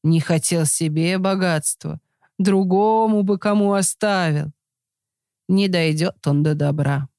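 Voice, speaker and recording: A woman voicing a slow, grumbling oak tree in a storybook tale. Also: fairly low pitch, dark tone, dry recording, clean studio sound.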